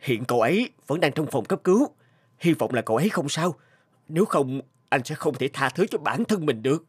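A man's voice: on the high side, expressive, fairly quick, breathy edge, no echo, studio quality. A man voicing an earnest, devoted mountain climber in a cartoon, speaking emotively. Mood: guilty, distressed. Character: earnest adult male mountain climber, guilt-ridden and devoted friend. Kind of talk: dubbed cartoon acting. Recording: loud music over the voice.

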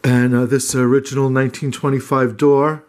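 Man, homeowner type, flat monotone delivery, halting with lots of "uh"s, casual camera-held house tour. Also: mid-range voice. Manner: hesitant, many "uh" fillers and restarts. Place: small wood-paneled cottage indoors.

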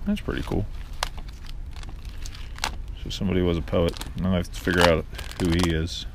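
A man's voice: deep voice, steady tone, normal speed. A middle-aged man thinking aloud as he sorts through a cluttered storage room, filmed on a body-worn camera. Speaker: flea market reseller. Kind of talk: casual narration while sorting. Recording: noisy handheld camera audio.

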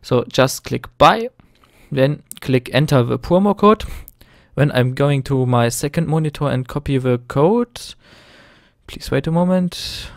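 A man's voice: medium pitch, animated, a little slow, gravelly, dry recording. Young german guy doing his first english tutorial, nervous, lots of ums, clean dry sound. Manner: nervous, hesitant, many fillers and restarts. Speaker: gaming tutorial host. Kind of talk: screen-recorded tutorial narration.

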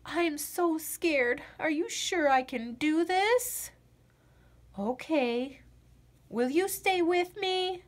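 A little boy frog played by a woman confides in the listener in a high, very slow storybook voice. He is anxious and earnest, hesitating often, with a lively swing in pitch. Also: heavily acted. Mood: scared. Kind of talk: children's picture-book read-aloud.

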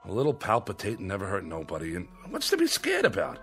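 Radio drama role: irritable male crook, lively intonation, gruff slangy snap, suspicious. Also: sits low, fast, soft background music, heavily acted. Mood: sour, insistent. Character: edgy, irritable small-time male crook, suspicious and superstitious.

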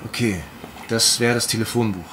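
A man's voice: lowish voice, fairly even intonation, normal speed, fairly bright tone, slight background noise, lightly acted. An actor playing a cautious, tech-savvy young male accomplice in a naturalistic audio drama. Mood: confident.

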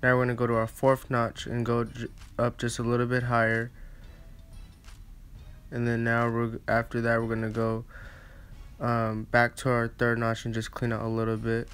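Casting: male barber for a tutorial voice-over, smooth medium-pitched voice, flat casual delivery, slightly halting phrasing. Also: faint music behind. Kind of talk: casual step-by-step instructional narration.

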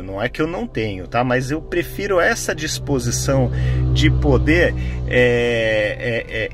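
Middle-aged Brazilian male tech vlogger, casual and explanatory, medium pitch, recorded on a phone in a car with faint music. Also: fairly bright tone. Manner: conversational, explanatory, frequent tag questions. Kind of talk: casual hands-on product demonstration. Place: inside a parked car. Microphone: phone camera microphone, no external mic.

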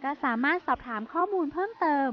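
Bright high-voiced young woman TV host. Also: lively intonation, quick pace, dull audio. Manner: polite, chirpy, frequent soft polite particles. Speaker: TV show host. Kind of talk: bright scripted TV presenting. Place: inside a busy supermarket.

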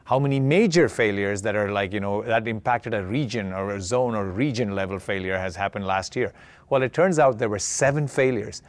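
A man's voice: medium pitch, no echo. A man in his forties presenting a keynote in a conversational, explanatory way, often checking in with a short tag question. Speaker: keynote speaker, tech executive.

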